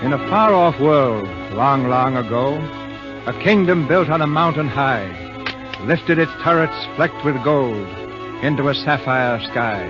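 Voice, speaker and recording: A man's voice: medium pitch, animated, a little slow, music in the background. A man hosting and narrating a radio drama in formal, grandiloquent 1930s scripted style. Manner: grandiloquent, oratorical. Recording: muffled, husky-sounding old radio broadcast.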